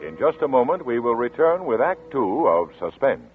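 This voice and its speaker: A man's voice: mid-range voice, normal speed, slightly rough, faint music behind. A man giving polished, formal announcer introductions for a 1940s radio show. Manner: polished, lively announcer cadence. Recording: tinny lo-fi 1940s radio broadcast.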